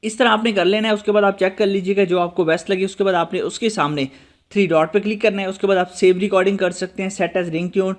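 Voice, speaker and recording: A man's voice: high voice, steady tone, fast talker. A man narrating an app tutorial, addressing viewers directly with step-by-step instructions. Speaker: host of a tech tutorial channel.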